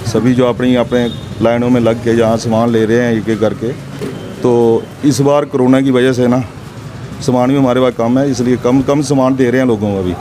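A man's voice: medium pitch, steady tone, normal speed. A middle-aged man, a shopkeeper, interviewed inside his small shop with a handheld mic, talking plainly and repeating himself. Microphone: handheld mic held to him. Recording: street noise behind.

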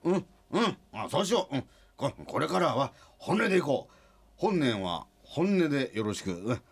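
An actor playing a good-natured, self-deprecating company president who loves lame puns, stammering and chuckling in a radio drama. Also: male voice, mid-range voice, very animated, moderate pace, slightly rough. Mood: flustered, jokey.

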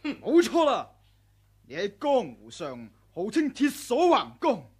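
A man's voice: high voice, wildly swooping pitch, a little slow, a little mellow, husky, exaggerated voice. A greedy hot-headed swordsman. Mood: accusing. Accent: Hong Kong Cantonese. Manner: defiant, grasping. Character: greedy, hot-headed male martial arts fighter. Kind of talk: 1980s radio drama acting.

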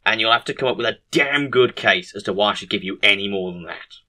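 A man voicing a weary, exasperated anesthesiologist, firm and deliberate, in a comic story. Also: on the low side, bright, forceful tone. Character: weary, exasperated male anesthesiologist laying down terms.

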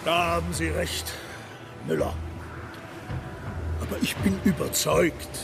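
Pompous, high-pitched German Kaiser. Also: male voice, expressive, slow pace, vocal fry, music in the background, heavily acted. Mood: content, assured. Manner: pompous, commanding. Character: imperious German Kaiser, vain, confident, easily indignant. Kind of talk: historical audio drama acting.

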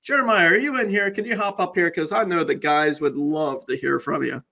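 A man's voice: a little high, fairly quick, vocal fry, sharp tone. A man hosting a webinar, delivering a casual sales presentation. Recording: dull, lo-fi webinar audio.

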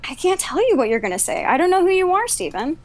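A woman's voice: extremely high pitch, big pitch swings, quick pace. A nineteen-year-old woman in a heated debate, pressing pointed questions again and again. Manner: insistent, repeating pointed questions. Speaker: debate guest.

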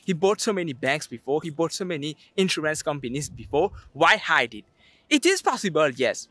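A man's voice: on the high side, bright, forceful tone, no echo. A young man presenting an investing explainer to camera, speaking emphatically. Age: young adult. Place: outdoors on a sandy beach.

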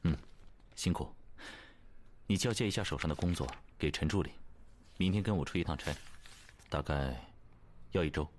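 Very deep-voiced, composed boss. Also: male voice, a little mellow, slight background noise. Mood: cool, businesslike. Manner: measured, terse, restrained. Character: composed, devoted male company boss in love. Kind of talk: audio drama acting.

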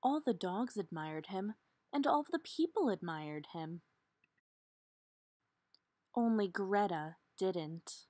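A woman narrates a children's picture book at an unhurried pace. Her voice is slightly husky and sits close and dry, and she stresses key words with dramatic emphasis. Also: medium pitch, lively intonation, dark tone, no room sound. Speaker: storybook reader. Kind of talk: expressive children's read-aloud narration.

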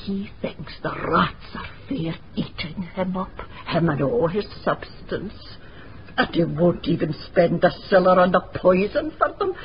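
An actress playing a gloomy, rat-obsessed Scottish housekeeper in a radio drama, with brooding dialect speech. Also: female voice, lowish voice, animated, measured pace. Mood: ominous. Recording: dull lo-fi radio recording, background hiss.

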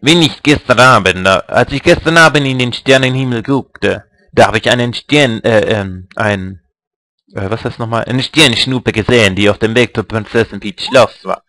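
A shy, dreamy younger brother from a video game , as a man reads him, speaking in a fairly ordinary low voice. He reads his diary aloud haltingly, often pausing to search for words. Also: pushed and bright, dry recording, natural voice. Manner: reading a diary, hesitant.

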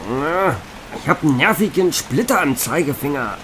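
An actor playing a wincing police detective in a comic radio play, with a martyr-like manner. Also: male voice, on the high side, expressive, normal speed, fairly bright tone, a little hiss or hum. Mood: pained. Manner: pained, martyr-like. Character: wincing, stoic-acting male police detective. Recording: clear music behind the voice.

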